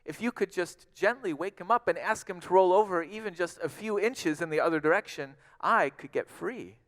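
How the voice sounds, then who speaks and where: A man's voice: high-pitched, normal speed, a bit bright, voice pushed up. A man voicing a small male mouse in a storybook tale, courteous, plaintive and aggrieved, with long hall reverb. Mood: pleading.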